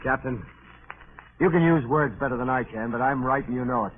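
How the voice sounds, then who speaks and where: An actor playing a blunt, suspicious, hot-tempered ship's mate in the style of 1930s radio drama. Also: male voice, medium pitch, brisk, natural voice. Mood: resentful, suspicious. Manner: curt, blunt. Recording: tinny, hissy 1930s radio recording.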